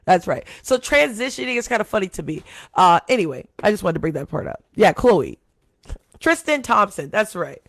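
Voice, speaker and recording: A woman with a deep voice hosting a live talk show, chatting casually and rambling with laughs. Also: sing-song, brisk, no echo, clean studio sound. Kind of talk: casual live commentary chat.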